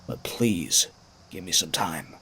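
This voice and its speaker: A man's voice: low-pitched, expressive, slow, pushed and bright, slightly rough, exaggerated voice. A man playing a resolute, persuasive robot civilian leader in an audio drama, earnest and oratorical. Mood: firm, persuasive.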